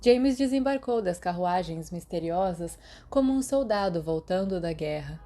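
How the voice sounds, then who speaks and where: Mellow, lower-pitched Brazilian woman narrating. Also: animated, normal speed. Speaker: audiobook narrator. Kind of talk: scripted fan fiction narration.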